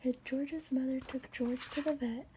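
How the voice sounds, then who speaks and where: A woman reading a children's storybook aloud in a soft ASMR whisper, with playful asides. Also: high voice, steady tone, normal speed, background noise. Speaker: storybook reader.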